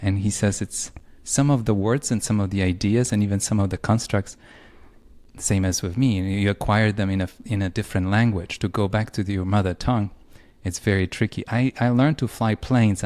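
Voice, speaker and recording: Man, somewhat low voice, podcast host, thoughtful, light laughs. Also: steady tone, brisk, darkish tone. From Poland. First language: Polish. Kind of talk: conversational interview.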